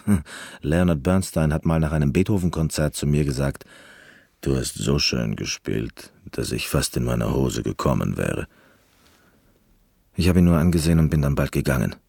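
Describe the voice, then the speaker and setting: An actor playing an eccentric, reclusive concert pianist in a radio play monologue, speaking in an intense, deliberate, reflective way. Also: male voice, very deep voice, gravelly, a little mellow, husky, dry recording, clean studio sound, exaggerated voice. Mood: brooding.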